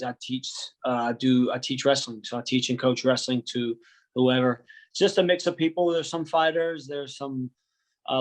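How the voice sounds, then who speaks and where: A man who coaches wrestling, chatting in an easygoing, joking way as an interview guest. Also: mid-range voice. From United States. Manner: easygoing, joking, uses slang. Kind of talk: casual interview.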